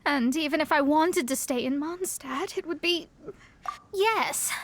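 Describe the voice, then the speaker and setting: A woman's voice: high voice, a little slow. A woman voicing a proud, haughty young female astrologist in a video game who stammers when cornered. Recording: game voice track over soft music.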